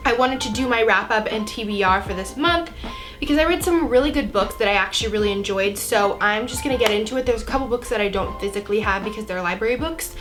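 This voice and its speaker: A woman's voice: medium pitch, fast, over music. A twenty-year-old American woman from Florida with a cold, chatting casually and informally to camera as a book vlogger. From Florida, United States. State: has a cold.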